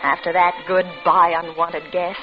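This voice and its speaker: An actress playing an anxious, paranoid woman in a 1940s radio suspense drama, intense, theatrical and halting. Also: lowish voice, level tone, phone-quality sound, natural voice. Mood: uneasy confidence. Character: anxious, increasingly paranoid single woman tormented by imagined intruder. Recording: band-limited old radio recording, loud music.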